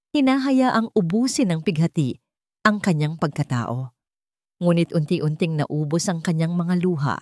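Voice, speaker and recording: Give the narration to a woman with a lowish voice in a dry, close recording. She reads a dramatic story melodramatically, setting out short phrases with deliberate care. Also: darkish tone, no room sound.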